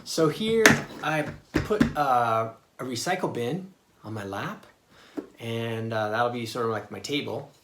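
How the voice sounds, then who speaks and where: A man giving a casual, hands-on drum lesson for children, talking in a halting, exploratory way. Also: medium pitch, lively intonation. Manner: halting, exploratory, sounding out rhythms with his mouth. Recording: roomy echo, loud clattering percussion noise. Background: banging on household objects.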